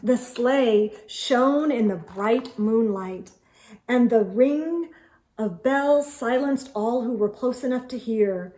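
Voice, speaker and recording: A woman storyteller reading a children's book aloud expressively, with deliberate phrasing and dramatic emphasis. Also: a little high, dark tone, low-quality recording.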